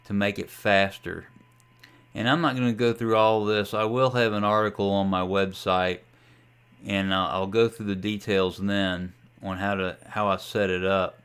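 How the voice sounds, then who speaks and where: Man, lowish pitch, very slow pace, folksy, casual hobbyist tutorial. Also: fairly bright tone, clear, resonant tone. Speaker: ham radio hobbyist presenting a software demo. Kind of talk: casual screen-share tutorial.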